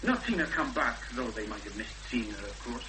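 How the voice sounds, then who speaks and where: An actor playing a cautious, courteous rural policeman with a Welsh accent and a halting official delivery in a 1970s radio drama. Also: medium pitch, big pitch swings, lightly acted. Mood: halting, sombre. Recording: old radio recording, loud hum and noise.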